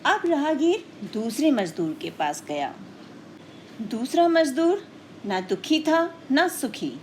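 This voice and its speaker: Woman, children's teacher, storytelling lesson, didactic and questioning, lively intonation, unhurried pace, faint noise behind. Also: sits high. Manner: didactic, explains and questions listeners directly.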